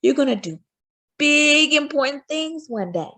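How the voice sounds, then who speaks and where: A woman voicing a loving, hopeful mother who talks gently and expressively to her young son in a storybook. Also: sits high, lively intonation, unhurried, pitched above the performer's own voice, heavily acted. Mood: proud, tender. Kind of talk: storybook character voice.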